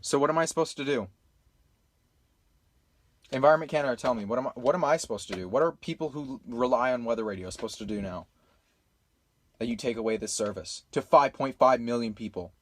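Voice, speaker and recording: A man's voice: medium pitch, brisk, pauses often. A man talking frankly and a little hesitantly in an unscripted YouTube vlog.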